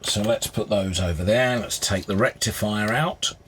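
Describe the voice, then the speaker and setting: A man with a husky voice giving casual, unscripted hands-on repair commentary, musing aloud as he works. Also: lowish voice, moderate pace, a little hiss or hum. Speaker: hobbyist restorer presenting his repair.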